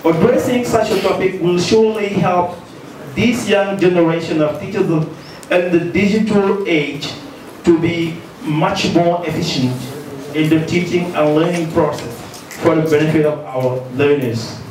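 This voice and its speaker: Senegalese man reading a formal welcome speech in an echoey hall. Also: fairly high pitch. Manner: measured, ceremonious, reading aloud. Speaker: association president. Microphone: handheld mic, filmed from a distance. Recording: echoey hall, distant, faint noise.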